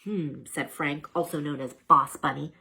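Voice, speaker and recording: A woman reading a children's story aloud in an animated narrating style. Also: deep voice, normal speed, slightly rough. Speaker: storybook reader.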